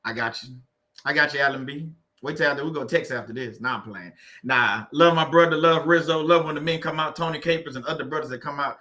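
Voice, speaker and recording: A man with a Louisiana drawl hosts a relaxed livestream, loose and teasing as he greets viewers one by one. His voice is bright and forward, sitting a little higher than most men's. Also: quick pace. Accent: Louisiana Southern US. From Louisiana, USA. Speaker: livestream host. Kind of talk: casual livestream chat with viewers.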